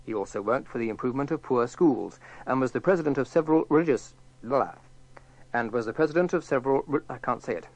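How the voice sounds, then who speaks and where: A man reading scripted narration, halting and stumbling over his words. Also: medium pitch, animated, darkish tone, no room sound, low-quality recording.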